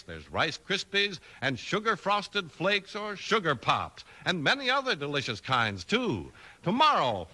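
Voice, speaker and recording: A man's voice: on the high side, pushed and bright. An American man announcing and selling in an emphatic, punchy 1950s radio style. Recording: 1950s radio transcription with music.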